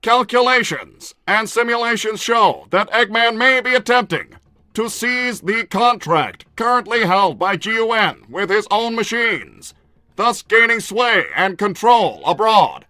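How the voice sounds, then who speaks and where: A war-hungry cartoon robot states its plans in a high, piercing voice. It is stiff and formal, coldly deliberate and free of emotion. The recording is dry and close. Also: male voice, expressive, sharp tone, dry recording, heavily acted. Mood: analytical. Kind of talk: improvised in-character conversation.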